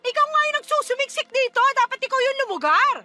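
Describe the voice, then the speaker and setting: A woman playing a brash, scornful middle-aged mother in a radio drama, sharp-tongued and dismissive. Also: very high voice, expressive, fast, soft background music, full character voice. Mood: angry. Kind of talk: Tagalog radio drama acting.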